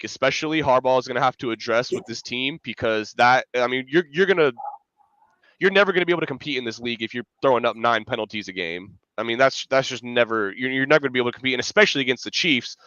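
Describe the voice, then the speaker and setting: A man's voice: mid-range voice, fast, bright, forceful tone, smooth, no room sound, dull audio. A man with a stuffy, congested voice from a cold, rambling in casual sports podcast chat with lots of fillers. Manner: rambling, filler-heavy, restarts often. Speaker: podcast co-host, fan analyst.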